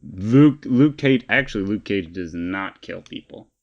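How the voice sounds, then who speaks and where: A man's voice: on the low side, lively intonation, measured pace, highs cut off. A man co-hosting a casual pop-culture podcast, talking in an opinionated, emphatic and rambling way. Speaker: podcast co-host.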